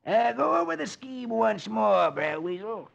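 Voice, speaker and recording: High croaky fox sidekick, unsure crook, old muffled radio cartoon. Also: male voice, big pitch swings, a little slow, full character voice. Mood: puzzled. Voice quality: creaky, gravelly. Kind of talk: 1950s radio comic-strip storytelling.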